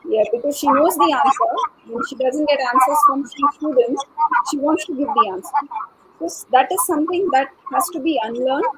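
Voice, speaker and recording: A woman educator answering as a panelist, explaining in earnest, measured phrases. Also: high-pitched, fairly bright tone. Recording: noisy online call with clicks.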